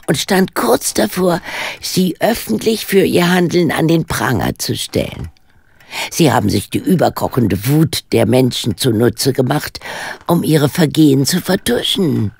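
A woman's voice: darkish tone, dry recording, full character voice. An older woman acting a shrewd, unflappable elderly amateur detective in a German audio drama, composed and pointed. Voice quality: low, husky, gravelly.

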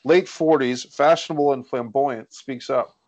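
A man's voice: medium pitch, a little slow, a little mellow. A man reading stage directions as scripted narration at a screenplay table read.

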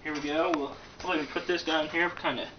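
Guy kinda far from the camera doing a goofy homemade cooking how-to, slangy and rambling, loud noise behind him. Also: a little high, steady tone, fairly quick. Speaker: host of amateur comedic cooking show. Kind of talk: casual joking how-to demonstration. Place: home kitchen, indoors. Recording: distant, dull lo-fi, loud background noise.

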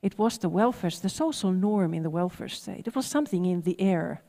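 A woman's voice: fairly low pitch, lively intonation, fairly quick, mellow. A woman, speaking reflectively on a panel with many restarts. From Finland. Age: 40s. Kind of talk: panel discussion. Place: large event hall on stage. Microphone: headset mic. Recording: long hall reverb.

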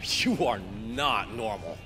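An actor playing a blunt, casual, sweary survivor in a zombie video game. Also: male voice, on the low side, sing-song, slow pace. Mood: impressed. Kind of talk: video game dialogue acting. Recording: game music behind the voice.

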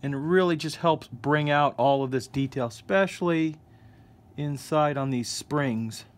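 A man hosting a casual scale-model painting tutorial, explaining each step in a relaxed way. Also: a little high, measured pace. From United States. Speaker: hobby shop host. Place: hobby workbench indoors.

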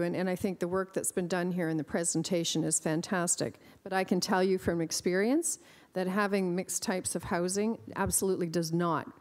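A woman's voice: lowish voice, a little mellow, big-room sound. A woman chairing a council committee, speaking firmly and pressing a point about housing. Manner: emphatic, firm. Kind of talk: council meeting remarks. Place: council chamber.

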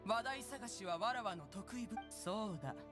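A woman's voice: medium pitch, lively intonation, moderate pace, lightly acted. A woman voicing a proud, ancient, motherly crane immortal in an anime game, speaking in an imperious, archaic, teasing way. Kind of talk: Japanese anime game voice acting. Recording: music behind.

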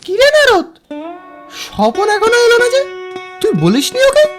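An actor voicing an eager young village lad in an animated moral story. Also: very high-pitched, normal speed, music in the background, heavily acted.

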